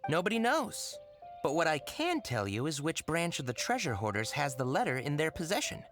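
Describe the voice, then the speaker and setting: Underworld informant for a game: deep for a woman, evasive, playfully secretive, lively intonation. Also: moderate pace, faint music behind. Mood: helpful. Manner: coy, hesitant cover-ups, storytelling flourish. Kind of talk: video game character dialogue.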